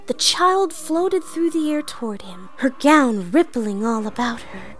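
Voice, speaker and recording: A woman's voice: high voice, expressive, measured pace, soft background music. A woman playing an earnest, theatrical narrator of a storybook read-along. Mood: dramatic, earnest. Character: earnest theatrical storyteller, comic author-narrator persona played female.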